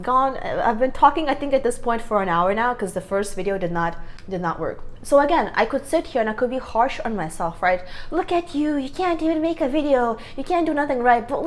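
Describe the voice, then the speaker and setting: A woman vlogger talking to camera about self-acceptance in an earnest, rambling way, often stopping to rephrase. Her voice sits a little high, and she speaks close to a clip-on microphone. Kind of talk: casual self-help talk to camera.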